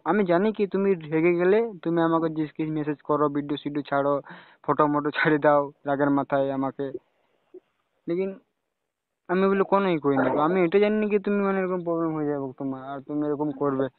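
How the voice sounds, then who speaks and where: Young male caller, slightly high voice, casual and questioning, on a dry lo-fi phone recording. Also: no echo. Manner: repeatedly checking with questioning tags. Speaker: caller talking to a romantic partner. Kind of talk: casual private phone conversation. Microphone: phone call. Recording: dull, lo-fi phone call recording.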